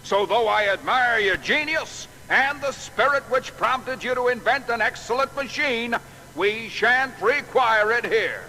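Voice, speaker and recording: An actor playing a shrewd, blunt old politician, declamatory and condescending, in a dramatised biography. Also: male voice, extremely high pitch, animated, unhurried, bright, forceful tone, faint music behind, full character voice. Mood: patronising, firm. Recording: long hall reverb, faint noise.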